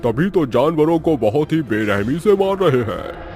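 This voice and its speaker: A man's voice: on the high side, very animated, measured pace, darkish tone, faint music behind. A man voicing a hungry, greedy male ghost in a cartoon, drawling and exclaiming. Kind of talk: cartoon voice acting.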